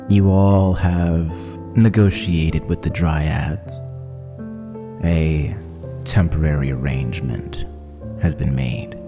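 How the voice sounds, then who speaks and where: A man narrating a horror tabletop game as game master, with measured, dramatic storytelling. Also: sits low. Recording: long reverb, music underneath.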